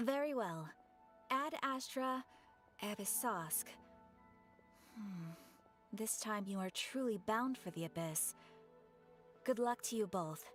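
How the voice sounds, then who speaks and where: A woman's voice: mid-range voice, animated, slow pace, pauses often, soft background music. A woman voicing a polite, composed young receptionist at a guild in an anime game, courteous and formal. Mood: businesslike.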